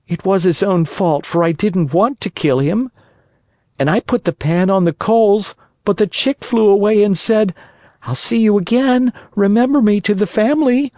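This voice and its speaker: A man's voice: high voice, a little mellow, no room sound, studio quality, pitched above the performer's own voice. A man voicing a hungry, stubborn wooden puppet boy from a storybook, with a childish, complaining manner.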